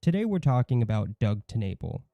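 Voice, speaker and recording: A man's voice: mid-range voice, sing-song, a little fast, dry recording, dull audio. A man hosting an online channel, reading scripted commentary in a snide, mocking manner. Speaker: YouTube channel host.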